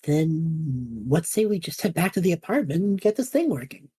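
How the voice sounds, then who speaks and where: A woman acting a laid-back, impulsive, curious young student adventurer in a fantasy comedy audio drama, husky, playful and easygoing. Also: low voice, sing-song, moderate pace, mellow, heavily acted. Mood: curious, casual.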